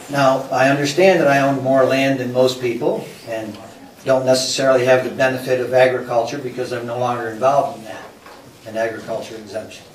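An older man from central New York giving a plain, unhurried public comment at a town hearing. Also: mid-range voice. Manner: plain, unhurried, conversational with pauses. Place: community center hall, indoors. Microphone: podium mic from a distance. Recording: echoey hall, distant mic, faint noise.

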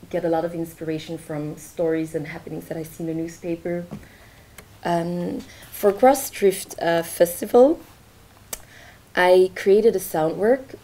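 Young woman artist, slow halting talk with fillers, lowish voice, presenting in a lecture hall. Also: creaky, dark tone. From Belgium. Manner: hesitant, many fillers, gestures while talking. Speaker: artist presenting her work. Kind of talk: informal talk at a launch event. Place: lecture theatre, indoors.

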